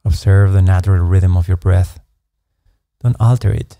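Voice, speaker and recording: A man narrating a guided meditation, giving calm, measured instructions in an unhurried way. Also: deep voice, gravelly, no room sound, studio quality. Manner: slow, calm, measured instruction with soft pacing.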